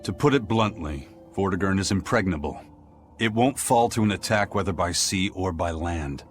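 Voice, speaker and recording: Game casting: grim, measured pirate man, notably deep, ominous and fatalistic. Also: animated, soft background music. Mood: commanding. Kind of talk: English video game voice acting.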